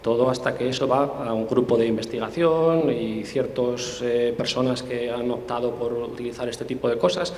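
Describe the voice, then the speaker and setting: A man's voice: mid-range voice, fairly even intonation, normal speed, hardly any pauses, darkish tone, a little hiss or hum. Hesitant man in echoey hall. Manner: thoughtful, many hesitations and restarts. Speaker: interview guest, tech specialist. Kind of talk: conversational explanation. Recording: roomy hall with long reverb.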